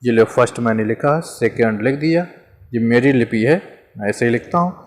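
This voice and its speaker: A man's voice: medium pitch, moderate pace, slight background noise. A man teaching a Hindi grammar lesson in a casual, chatty way, asking questions and answering them himself. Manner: conversational, repeats examples, asks and answers himself.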